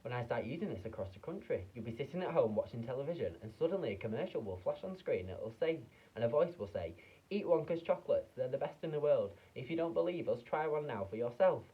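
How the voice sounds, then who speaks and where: A man reading aloud and voicing an eccentric, excitable male chocolate maker with a theatrical, fussy manner. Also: medium pitch, fast, slightly rough, phone-quality sound. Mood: excited.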